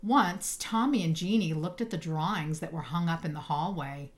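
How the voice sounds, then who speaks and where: A woman reading a children's picture book aloud, with expressive phrasing and emphasized words. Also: low voice, moderate pace. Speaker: storybook reader.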